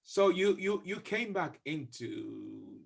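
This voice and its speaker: A middle-aged man hosting a casual comedy interview, putting questions haltingly and repeating words as he goes. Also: a little high, very animated, vocal fry, over a noisy crowd. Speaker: podcast host, comedian.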